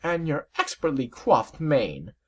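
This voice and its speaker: A woman's voice: low voice, big pitch swings, slow, pitched above the performer's own voice, heavily acted. A woman voicing a refined, fashion-minded young pony mare in a fan story, gushing and theatrical.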